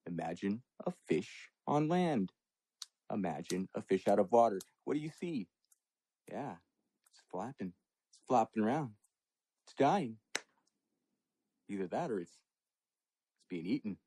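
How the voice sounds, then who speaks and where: A man in a casual comedy chat, musing slowly and posing leading questions. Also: on the low side, big pitch swings, mellow.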